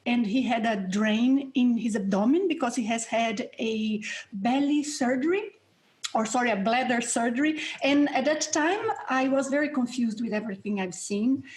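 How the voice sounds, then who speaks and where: This is a middle-aged woman from Brazil with a clear Brazilian accent, speaking English as a second language. Her voice sits somewhat high. She explains things in an earnest, reflective way, with light laughs between thoughts. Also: normal speed, darkish tone. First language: Portuguese. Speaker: guest researcher and instructor. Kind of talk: interview answers.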